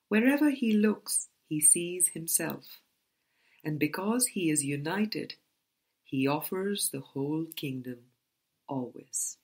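A woman reading a spiritual book aloud as scripted narration, in a deliberate manner with pauses between phrases. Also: sits low. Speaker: audiobook reader.

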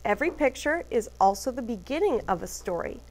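A woman teacher reading a picture book aloud to children, deliberately emphasizing letters and phrases. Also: a little high, big pitch swings.

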